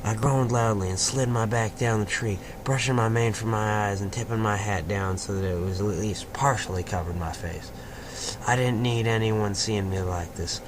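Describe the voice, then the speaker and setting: A man's voice: on the low side, steady tone, normal speed, noisy background. A man reading first-person fan fiction aloud as the story's narrator in a scripted, dramatic style.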